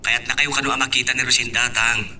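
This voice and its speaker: A man's voice: mid-range voice, level tone, fast, bright, forceful tone, distant mic, a little hiss or hum. A man playing a respectful, affectionate young son in a radio drama, heard over a phone call.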